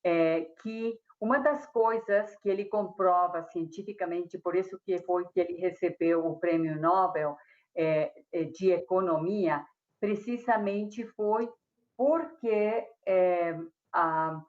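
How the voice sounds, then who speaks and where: A Mexican woman, a speech therapist, speaking Portuguese with a Mexican Spanish accent, halting with many fillers and restarts on a video call. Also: on the low side, a little mellow, highs cut off. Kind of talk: hesitant conference talk. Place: room at home.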